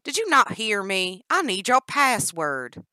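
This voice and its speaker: A loud, brash female captor with a Southern twang and an explosive temper, put on by a woman reading a storybook. The voice is low and bright-edged, recorded dry and close. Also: big pitch swings, normal speed, no echo. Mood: menacing. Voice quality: brash and shouting. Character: wiry volatile female kidnapper, explosive temper.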